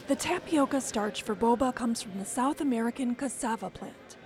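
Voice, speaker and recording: A woman radio reporter reading a scripted feature narration in a measured, descriptive storytelling way. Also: on the high side.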